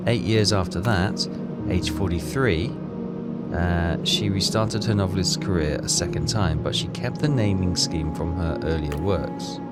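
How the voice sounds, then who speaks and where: A man thinking aloud as he plays a puzzle game, talking in a halting, self-questioning way. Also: deep voice. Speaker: let's player. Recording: loud game music behind voice. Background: game music.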